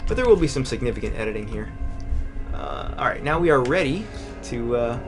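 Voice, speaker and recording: A man's voice: medium pitch, sing-song, fairly quick, over music. A man co-hosting a casual video game let's-play, who teases his partner and explains what he is doing in the game. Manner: playful, teasing, explains gameplay. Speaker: co-host of a gaming channel.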